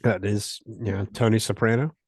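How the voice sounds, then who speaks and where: A middle-aged man hosting a casual podcast chat, rambling and halting as he talks. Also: lowish voice, steady tone, a little mellow, dry recording, clean studio sound. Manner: rambling, halting, restarts often. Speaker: podcast host.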